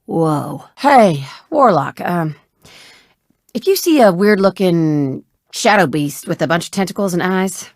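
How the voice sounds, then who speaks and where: A woman's voice: lowish voice, expressive, unhurried, no echo, clean studio sound. A woman voicing a brash, wry, hardworking shipwright in a video game, with a casual, folksy, bossy and teasing manner. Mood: harried, exasperated. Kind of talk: video game character dialogue.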